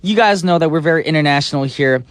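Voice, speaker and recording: A man's voice: a little high, quick pace, no echo. A man hosting a radio music show, playful and chatty, sometimes breaking into sung bits and silly voices. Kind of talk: upbeat radio DJ patter. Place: radio studio. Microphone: studio microphone.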